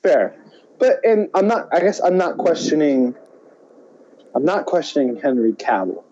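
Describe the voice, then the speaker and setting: A man's voice: a little high, sing-song, unhurried, mellow, a little hiss or hum. A man chatting casually as a podcast co-host, rambling and often restarting his sentences. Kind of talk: casual chat. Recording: dull lo-fi audio.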